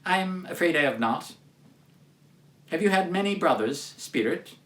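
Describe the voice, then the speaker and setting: A man's voice: a little high, lively intonation, measured pace, a bit bright. A man voicing a humbled, timid old miser in a storybook reading, meek and submissive. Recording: roomy echo, distant microphone.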